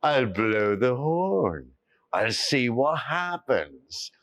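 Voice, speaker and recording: A man's voice: mid-range voice, very animated, extremely slow, fairly bright tone, no room sound, clean studio sound, full character voice. Man doing a giggling mischievous shepherd boy. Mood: gleeful. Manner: giggling, gleeful. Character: mischievous boy shepherd who laughs at everyone.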